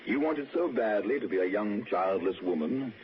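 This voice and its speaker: An actor playing a genteel, reassuring father in a 1960s radio suspense play, speaking formally and with gentle probing. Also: lowish voice, expressive, moderate pace, close to an everyday voice. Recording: muffled old radio drama with faint noise.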